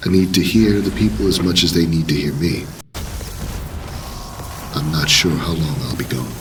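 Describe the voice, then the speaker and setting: A man playing a solemn veteran commander turned spiritual leader in an audio drama, speaking in a measured, formal, earnest way. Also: rumbling low, fairly even intonation, far from the mic, background noise. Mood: resolute.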